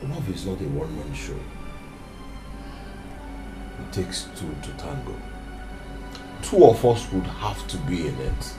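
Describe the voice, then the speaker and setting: A young man, played by an actor, speaking in a very deep, slow voice, firm and dismissive. His pitch swings widely, and loud music plays underneath. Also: big pitch swings, exaggerated voice. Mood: insistent. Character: cold young man refusing a woman's love. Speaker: son who rejects a suitor.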